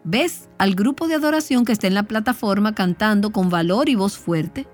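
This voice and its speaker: A woman's voice: mid-range voice, lively intonation, moderate pace, faint music behind. A woman speaking as a Bible teacher, giving a devotional talk in an earnest, exhorting manner.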